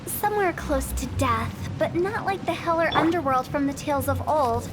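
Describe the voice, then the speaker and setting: A mischievous young girl mortician, very high and impish, game audio with music underneath. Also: lively intonation, moderate pace. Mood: breezy. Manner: teasing, breezy, mock-ominous. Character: playful, mischievous young female funeral director. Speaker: game character. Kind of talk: video game voice acting.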